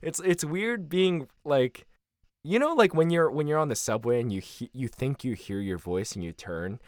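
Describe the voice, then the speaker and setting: A young American man, a guest in a casual interview, tells stories with lots of laughter and acts out other people's lines in a higher voice. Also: normal speed, no room sound. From United States. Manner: animated, laughing storyteller who acts out quotes in a higher voice.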